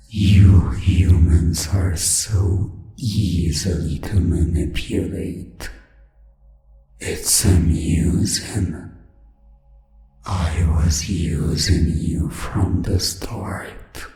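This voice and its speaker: A man voicing a towering, cruel demonic prisoner who gloats and manipulates in a fantasy horror audio roleplay, speaking slowly and menacingly. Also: low-pitched, roomy sound, noisy background, voice pushed down.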